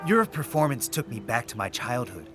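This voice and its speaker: A man's voice: mid-range voice, very animated, normal speed, natural voice. An actor playing an earnest, loyal young American man who grew up in China and is searching for a lost friend. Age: young adult. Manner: earnest and emotional, rising to pleading. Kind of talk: audio drama acting. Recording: music playing underneath.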